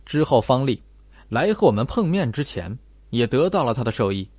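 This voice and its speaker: Audiobook role: clever young male sleuth voiced by a man, calm, measured, explanatory, dry sound. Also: medium pitch, slightly rough, no echo. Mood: cool, analytical.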